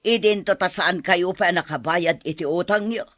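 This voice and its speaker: An actress with an Ilocano Filipino accent playing a stern, unsympathetic aunt in a radio drama, scolding forcefully. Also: female voice, fairly low pitch, moderate pace, dry recording, natural voice. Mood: stern annoyance. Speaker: actor in an Ilocano audio drama. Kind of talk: acted radio drama dialogue.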